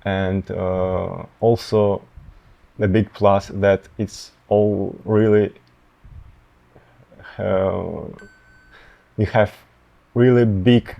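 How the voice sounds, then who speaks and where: Young russian-accented guy, deep flat voice, lots of uh and like as he talks. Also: gravelly, muffled recording. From Tatarstan, Russia. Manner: halting, searching for words, many fillers. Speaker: medical student guest. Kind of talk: interview answers.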